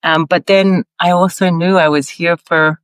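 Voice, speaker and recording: A woman's voice: lowish voice, fairly even intonation, normal speed, dry recording. A woman born in Ireland and raised in Australia, a podcast guest who talks in an earnest, searching way, laughing often and restarting her sentences. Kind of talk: conversational interview.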